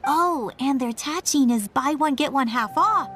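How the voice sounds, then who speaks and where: Coaxing best-friend role in a game fan dub , woman performer: high, casual, playful. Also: a little slow, fairly bright tone, soft background music. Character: friendly, teasing young female friend. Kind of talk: amateur fan voice-over of game dialogue.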